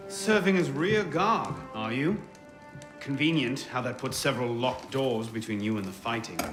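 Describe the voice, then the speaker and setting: An actor playing a sardonic, defiant young assassin in a video game, with a dry, wry delivery. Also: male voice, mid-range voice, animated, normal speed, music in the background, close to an everyday voice. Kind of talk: dramatic video game voice acting.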